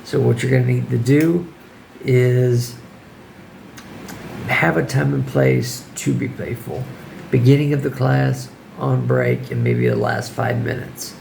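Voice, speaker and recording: A man who teaches English gives casual, firm classroom advice in a hoarse voice that keeps giving out, often checking in with the listener. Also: mid-range voice, lively intonation, slow pace, a little mellow, a little hiss or hum, poor audio. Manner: informal, firm, checks in with "okay?". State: hoarse, losing his voice.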